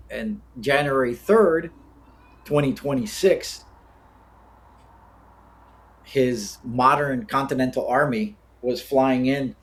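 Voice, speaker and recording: An American man in his fifties speaks as an interview guest. His delivery is conversational and halting, wandering off into side stories, with a mid-range voice. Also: mid-range voice, gravelly. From born in Cuba, grew up in the United States. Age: 50s. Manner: rambling, digressive, halting. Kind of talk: casual conversational storytelling.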